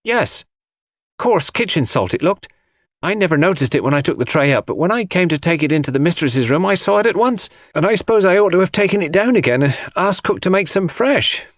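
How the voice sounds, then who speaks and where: Man voicing a flustered, high-pitched young housemaid. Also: expressive, a little fast, no room sound, clean studio sound. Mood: flustered, defensive. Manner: deferential, eager, flustered. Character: young strapping housemaid, excited, flustered, deferential servant.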